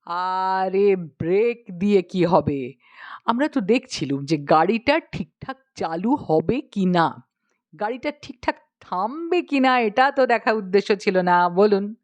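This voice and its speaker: A woman's voice: medium pitch, lively intonation, slow, no room sound. Woman playing a smug, breezy uncle. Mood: nonchalant, smug. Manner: smug, casual, mixes English into speech. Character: breezy, unflappable young uncle, self-assured and logical.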